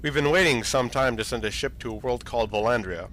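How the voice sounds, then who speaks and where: A man's voice: mid-range voice, fast, natural voice. A man playing a senior space fleet admiral in a science fiction audio drama, briefing in a formal, authoritative manner. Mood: measured, authoritative. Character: commanding senior space fleet admiral, brisk and matter-of-fact. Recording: steady background ambient noise.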